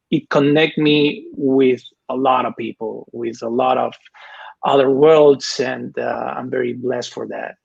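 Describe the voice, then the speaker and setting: Husky Peruvian-accented man. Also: mid-range voice, no room sound, lo-fi sound. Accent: Peruvian Spanish. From Lima, Peru. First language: Spanish. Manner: halting, repeats words. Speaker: panelist, musician. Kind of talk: personal story in a panel introduction.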